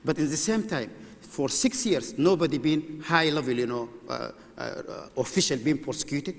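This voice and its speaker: A man from Sudan, speaking as a panelist in a formal public debate, earnest and halting with many fillers. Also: on the high side, vocal fry. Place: debate hall with live audience. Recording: long reverb of a large hall.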